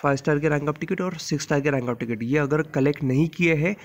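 Hoarse Indian man, blunt game guide. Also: fairly high pitch, fast. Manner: informal, blunt, mixes English terms into speech. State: hoarse, just woke up, sore throat. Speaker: mobile game channel host. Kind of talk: casual gameplay guide voice-over.

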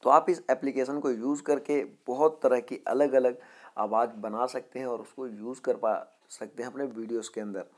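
A man's voice: mid-range voice, steady tone, moderate pace, darkish tone. A man with an Indian accent hosting a casual voice-over app tutorial. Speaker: host of a tech tutorial channel.

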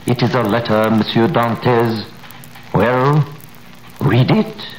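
An actor playing a guarded crown prosecutor who questions a prisoner in a measured, authoritative way, in a 1930s radio drama. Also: male voice, medium pitch, natural voice. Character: cold, guarded crown prosecutor, grown man. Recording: roomy old radio recording with faint hiss.

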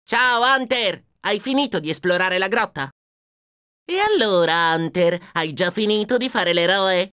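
A woman's voice: medium pitch, expressive, measured pace, fairly bright tone, smooth, dry recording. A woman voicing a plucky, cheeky young male dragon hero in a video game, self-assured and wisecracking, close on a dry studio mic. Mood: casual, cheeky. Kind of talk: video game character acting. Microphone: close studio microphone, dry.

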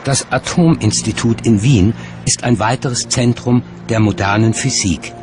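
Guy narrating a documentary, music clearly playing under him. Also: mid-range voice, measured pace.

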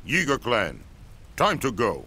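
An actor playing an ominous villainous seer in a game, gravelly, menacing and theatrically measured. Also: male voice, mid-range voice, wildly swooping pitch, pushed and bright, a little hiss or hum, heavily acted. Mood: coldly musing. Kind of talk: dramatic game villain acting.

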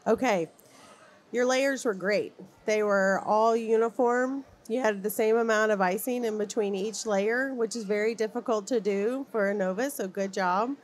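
A woman's voice: mid-range voice, normal speed. A woman judging a kids' baking contest, giving upbeat, direct praise into a handheld mic in a large fair hall. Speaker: contest judge. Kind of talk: giving judge's feedback.

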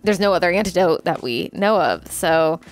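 A woman's voice: lowish voice, expressive, normal speed, faint music behind. A woman in casual reaction chat, talking informally and explaining her take in a conversational way. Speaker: reaction show host.

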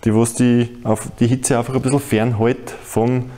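Casting: Austrian man, forties, motorsport presenter, dark relaxed voice, informal explanatory delivery. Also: medium pitch, moderate pace.

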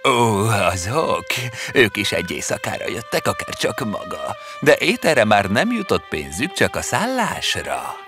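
A man voicing several male characters in an animated fairy tale dub, with a lively, theatrical delivery. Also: lowish voice, hardly any pauses, music in the background.

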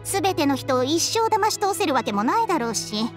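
A high female voice playing a haughty talking snake in a Japanese game. She is blunt and bossy, an old healer's companion with very animated intonation. Music plays faintly behind her. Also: brisk. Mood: earnest, persuading. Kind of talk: Japanese video game voice acting.

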